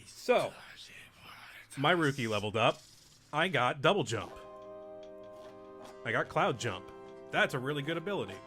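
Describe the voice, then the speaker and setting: A man's voice: mid-range voice, very animated, unhurried, long pauses, bright, forceful tone, soft background music. A man hosting a casual game recap in plain, short, encouraging sentences. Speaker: host and player.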